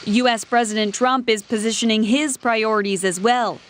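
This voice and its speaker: A woman news reporter delivering a scripted report in a measured, even way. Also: on the high side, slight background noise.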